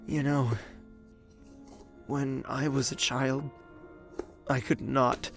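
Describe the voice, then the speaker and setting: A man plays a young royal heir in an audio drama. His voice has a gravelly creak and a soft, hesitant delivery. He comes across as pensive, gentle and unsure of himself. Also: mid-range voice, little pitch movement, drawn-out words, soft background music. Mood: reflective, hesitant.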